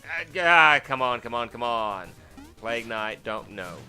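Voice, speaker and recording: Rambling gamer guy, bright tense voice. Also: expressive, a little slow. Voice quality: fairly deep voice. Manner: rambling, filler-heavy, self-deprecating; sudden startled exclamations while playing. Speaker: let's play host. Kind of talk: casual gameplay commentary. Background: faint game music.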